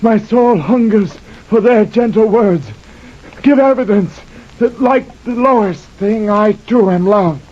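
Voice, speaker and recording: An actor playing a haunted, penitent mariner who tells his tale in grave, poetic radio-drama verse. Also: sits high. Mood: desperate pleading. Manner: slow, solemn, impassioned, poetic delivery. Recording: dull lo-fi radio recording, clear noise.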